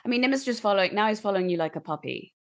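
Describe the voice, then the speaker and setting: A woman's voice: medium pitch, animated, racing. A woman improvising casually as a player in a tabletop role-playing game.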